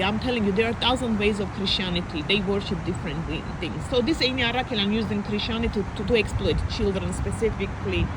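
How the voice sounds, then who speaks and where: A woman from Armenia talking in an unscripted personal monologue, emphatic and repetitive, piling up lists as she speaks. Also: a little high. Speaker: vlogger. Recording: loud traffic noise outdoors.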